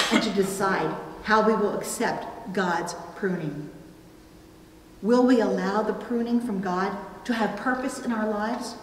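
A middle-aged woman preaching a sermon from a pulpit, with deliberate, emphatic phrasing and pauses. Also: medium pitch. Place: indoors at a church pulpit. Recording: roomy, reverberant church, distant, faint noise.

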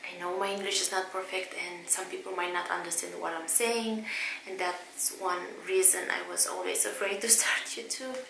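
Hesitant Romanian-accented woman, bright voice. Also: fairly low pitch, flat delivery, creaky, reverberant, slight background noise, low-quality recording. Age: 30s. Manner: hesitant, halting, many fillers and restarts. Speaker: vlogger. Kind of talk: casual talk to camera. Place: bedroom at home, indoors.

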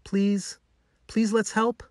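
A man's voice: high-pitched, little pitch movement, slow pace, no echo, clean studio sound, voice pushed up. A man voicing an eager, kind-hearted young male pet dog in a children's story, earnest and exclamatory. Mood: pleading.

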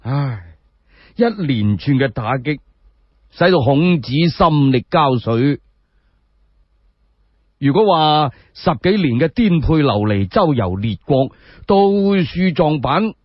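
A man with a Cantonese accent narrates a tale in dramatic, expressive fashion. His voice is raspy and swings widely in pitch. The recording is dry and slightly muffled. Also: dry recording. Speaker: solo storyteller. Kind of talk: dramatic scripted storytelling narration. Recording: dry, dull lo-fi audio.

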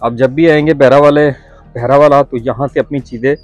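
A man's voice: medium pitch, steady tone, fairly quick, a little mellow, no echo, dull audio, soft background music. A man, chatting upbeat to viewers while vlogging through a market, filmed on a handheld phone with a lapel mic. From Lahore, Pakistan. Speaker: travel vlogger. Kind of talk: walking market vlog to camera. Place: busy indoor market shops. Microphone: phone held at arm's length with lapel mic.

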